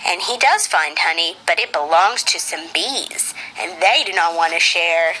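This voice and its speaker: A woman narrating a children's storybook for a puppet show in an expressive, sing-song read-aloud manner. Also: fairly low pitch, unhurried, a little hiss or hum, rough recording.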